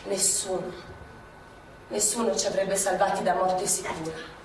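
Woman doing a calm partisan woman telling the story, sober, roomy stage from a distance. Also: medium pitch, measured pace, close to an everyday voice. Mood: grave. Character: young woman partisan on the run, calm recounting. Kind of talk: stage narration. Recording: echoey stage from afar with noise.

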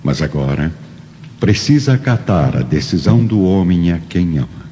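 Deep, solemn male narrator in long reverb. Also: very animated, moderate pace, warm tone, slight background noise. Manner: solemn, theatrical. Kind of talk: scripted dramatic narration of a radio drama. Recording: long reverb, dull audio, music behind.

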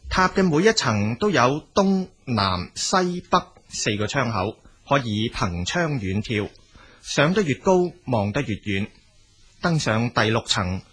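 A male storyteller with a medium-pitched, husky voice and a Cantonese accent. He delivers scripted narration in measured, explanatory phrases. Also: a bit bright.

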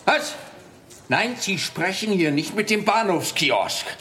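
A man's voice: high-pitched, expressive, slow, sharp tone, slight background noise, exaggerated voice. An actor playing a grumpy, overworked policeman in a radio crime comedy, indignant and sardonic. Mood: exasperated, indignant. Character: grumpy, overworked, exasperated male police officer. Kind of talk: German-language radio crime comedy acting.

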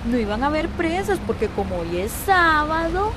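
An actress playing a sarcastic, sharp-tongued young woman in a radio soap, with a Costa Rican accent. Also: high voice, big pitch swings, moderate pace, noisy background. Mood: ironic. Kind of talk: Spanish-language radio soap acting.

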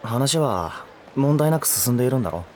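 A man's voice: medium pitch, animated, normal speed, darkish tone, slight background noise, lightly acted. A male actor playing a down-to-earth young man in a voice drama, speaking casually and bluntly but with care. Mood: teasing, caring. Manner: casual, rough, blunt speech. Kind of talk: Japanese voice drama acting.

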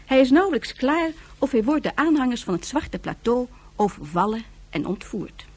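A woman playing the narrator of a radio drama serial, recapping events in a scripted, measured storytelling manner. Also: on the high side, very animated, slightly rough. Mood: narrating.

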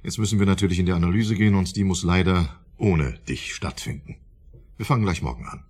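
A self-important professor of psychiatry with a slightly low, husky voice. He speaks formally and probes shrewdly, scheming behind his courtesy, in radio drama style. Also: fast talker, darkish tone. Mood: imperious. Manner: formal, probing, condescending.

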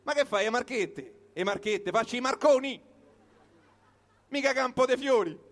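A man's voice: very high voice, measured pace, a bit bright. A man with a Roman accent performing a comic storytelling monologue in short, colloquial, punchy phrases. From Rome, Italy. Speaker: comic performer reciting his own piece. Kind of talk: live comic storytelling monologue built on wordplay.

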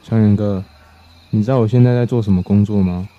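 A man's voice: lowish voice, fairly even intonation, fairly quick, dark tone, lightly acted. An actor playing a weary man in his twenties who shoulders his family's burdens, confiding and reflective, in a radio drama. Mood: confiding, wry. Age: 20s. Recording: slightly muffled, guitar music behind.

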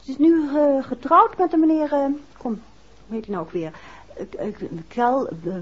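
An actress playing a curious, chatty middle-aged wife in a 1960s radio play, speaking in an inquisitive, conversational way. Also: female voice, high-pitched, sing-song, normal speed. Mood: chatty, puzzled. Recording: muffled old 1960s radio recording.

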